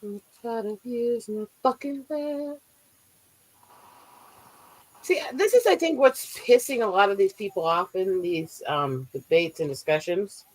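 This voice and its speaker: A woman's voice: medium pitch, lively intonation, measured pace, a little mellow, low-quality recording. A woman co-hosting a casual livestream chat, blunt and profane, talking over a remote streaming mic. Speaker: stream co-host. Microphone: streaming mic, remote call.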